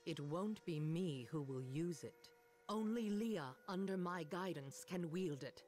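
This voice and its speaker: Stern, driven sorceress over music. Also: female voice, fairly low pitch, expressive, measured pace, a little mellow. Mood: grave, resolute. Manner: commanding, intense. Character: stern, driven older sorceress mother, ruthlessly dedicated. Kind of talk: dramatic video game acting. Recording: game music behind the voice.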